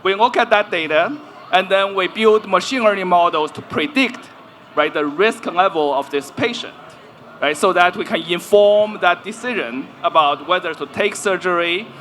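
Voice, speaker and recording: A man's voice: high-pitched, moderate pace, fairly bright tone, slight background noise. A man in his forties, a researcher on a live panel, explaining at length and checking in with "right?" often. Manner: explanatory, peppers talk with "right?". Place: stage at a festival panel.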